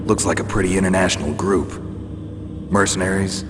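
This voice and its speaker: An actor playing a gruff, terse, world-weary special forces veteran in a video game, asking probing questions. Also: male voice, sits low, steady tone, moderate pace, very noisy, natural voice. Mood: wary, skeptical. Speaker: special forces operative being briefed. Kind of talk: dramatic video game voice acting. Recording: long reverb, music behind. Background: music.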